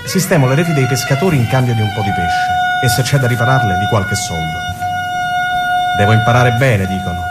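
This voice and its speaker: A man's voice: mid-range voice, expressive, fairly quick, natural voice. A man playing a tender, dreamy young man in love, reading a letter lyrically in a radio drama. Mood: dreamy, earnest. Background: instrumental music.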